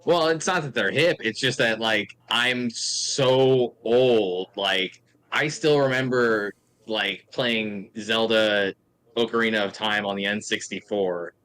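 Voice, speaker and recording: A man's voice: fairly low pitch, takes it slowly, fairly bright tone, smooth. A man in his thirties chatting casually, with a joking manner and wry exaggeration. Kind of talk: casual chat.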